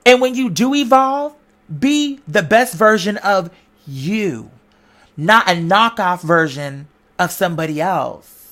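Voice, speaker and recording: A woman's voice: mid-range voice, animated, takes it slowly, fairly bright tone. A woman vlogger delivering an informal motivational monologue, conversational and slangy, with emphatic, preacher-like repetition. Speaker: online video creator giving a pep talk.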